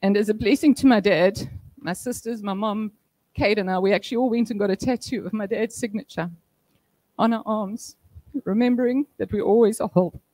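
A woman's voice: mid-range voice, brisk, a little mellow, no echo, rough recording. Woman in her thirties, eulogy at a memorial, heartfelt with light humor, dry close handheld mic. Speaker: daughter giving a eulogy. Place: indoor function room at a memorial service. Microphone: handheld microphone.

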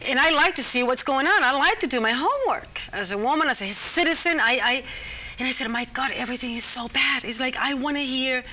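A woman from Costa Rica hosts a TV interview show from a studio set. Her voice sits fairly high and sounds bright, and she talks in an effusive, chatty, repetitive way. A faint background hiss runs under the lapel mic. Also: lively intonation, quick pace. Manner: chatty, effusive, repeats herself. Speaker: talk show host. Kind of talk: casual TV interview chat.

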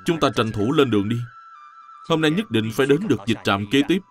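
An actor playing an upright, kindly young scholar in an animated drama, speaking earnestly. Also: male voice, medium pitch, a little fast, soft background music, lightly acted. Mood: firm. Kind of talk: animated drama dub acting.

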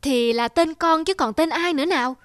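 A woman voicing a gentle, encouraging mother in an animated tale, speaking with patient, soothing manner. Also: sits high, moderate pace, no room sound, clean studio sound. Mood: proud, happy. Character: gentle, encouraging mother of a young pianist daughter. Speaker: mother character. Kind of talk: animated fairy-tale dubbing.

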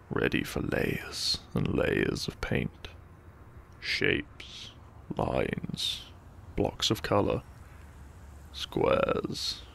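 A man reads a soothing bedtime story with heavy vocal fry and a very unhurried pace. His delivery is soft and breathy, often near a whisper, in a gentle ASMR style. Some faint background noise sits under the voice. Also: lowish voice. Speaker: sleep-aid audio narrator.

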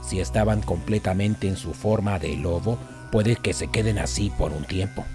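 A man voicing a weary, sarcastic little insect guide with a deadpan Latin American delivery in a comic dub. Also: sits low, moderate pace, natural voice. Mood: dry. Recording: background music behind the voice.

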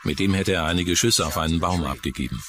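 Deep-voiced man in documentary interview voice-over. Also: quick pace, loud music behind the voice.

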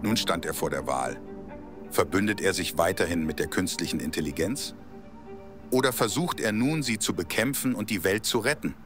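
A man playing the storyteller of an audio drama, narrating in a grave, measured, dramatic way. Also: low-pitched, expressive, music in the background. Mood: grave, suspenseful.

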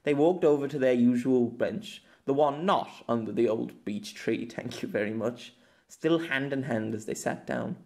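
A man's voice: medium pitch, moderate pace, gravelly, a little mellow. A man reading a scripted story as an amateur audiobook narrator.

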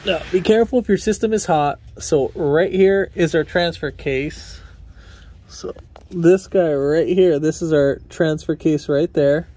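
A man in his thirties, an auto mechanic, talks viewers through a repair in an informal, halting, conversational way into a handheld phone. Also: on the high side, warm tone. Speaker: auto mechanic and channel host. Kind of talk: informal hands-on repair explainer to camera. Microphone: handheld phone at arm's length.

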